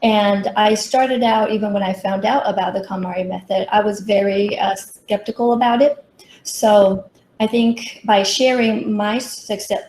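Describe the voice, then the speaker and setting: A woman's voice: medium pitch, a little mellow. A middle-aged woman from Taiwan giving a prepared workshop presentation with personal stories, as a professional consultant. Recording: roomy echo, faint background noise.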